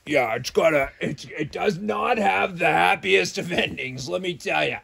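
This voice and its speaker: A man voicing a cocky, blunt talking shark puppet who addresses children in a gravelly, tense voice. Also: high-pitched, measured pace, fairly bright tone, full character voice. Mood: gleefully blunt.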